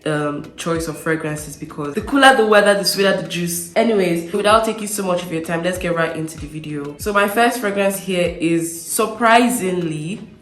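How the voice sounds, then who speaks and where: A woman's voice: fairly low pitch, moderate pace, fairly bright tone, reverberant, soft background music. A woman chatting casually to camera as a perfume vlogger, slightly nasal as she gets over a cold, stressing her points with repeated words. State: recovering from a cold. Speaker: beauty vlogger presenting perfume reviews.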